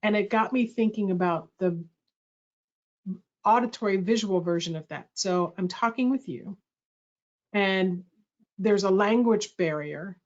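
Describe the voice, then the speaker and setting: A woman's voice: medium pitch, unhurried, long pauses. A middle-aged woman hosting a workshop, speaking in a thoughtful, reflective way and correcting herself as she goes. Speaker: workshop host. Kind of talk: presentation dialogue. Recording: slightly muffled audio.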